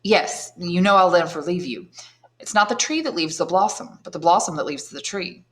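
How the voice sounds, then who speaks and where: A woman reading aloud, playing a calm noble man who speaks in a measured, earnest way. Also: fairly low pitch, close to an everyday voice. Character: calm, noble older man, tender and commanding.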